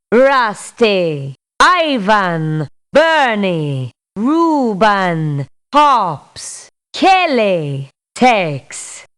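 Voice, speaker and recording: A woman playing a tough, principled mercenary for hire in a video game, speaking in a blunt, firm, measured way. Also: medium pitch, a bit bright, clear, resonant tone, exaggerated voice. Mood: matter-of-fact. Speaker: mercenary character in a strategy game. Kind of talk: video game voice clips, scripted refusals and barks.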